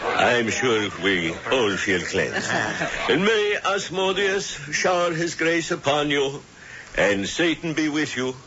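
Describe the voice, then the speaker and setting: Oily coven-leader doctor, bright lowish voice swooping around, old radio sound. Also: male voice, very animated, takes it slowly, full character voice. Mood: unctuous. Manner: smooth, ceremonious, unctuous. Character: suave male doctor leading a witches' coven. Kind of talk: 1970s radio drama acting. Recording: dull lo-fi old radio broadcast.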